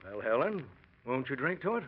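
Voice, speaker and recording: An actor playing a hearty, jovial, stubborn miner in a 1940s radio drama. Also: male voice, medium pitch, very animated, a little fast, a little hiss or hum, close to an everyday voice. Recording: dull old radio recording.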